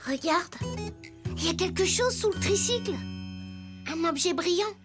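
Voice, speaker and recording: A woman's voice: very high-pitched, animated, moderate pace, over music. A woman playing an earnest, cheerful little wooden boy detective in a children's cartoon. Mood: determined. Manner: earnest, eager. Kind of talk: animated children's cartoon dialogue.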